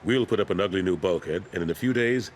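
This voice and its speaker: A man's voice: deep voice, quick pace, a little hiss or hum, lightly acted. An actor playing a firm, plain-spoken older military man turned politician in a video game. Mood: calm, frank. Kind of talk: video game dialogue acting.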